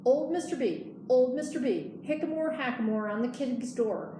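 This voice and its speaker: A woman's voice: high voice, normal speed, warm tone, voice pushed up, close to an everyday voice. Woman as a cheeky sing-song young squirrel, echoey. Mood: impertinent. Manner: sing-song, teasing rhyme chanting. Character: cheeky young male squirrel singing taunting riddles. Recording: echoey room, noisy background.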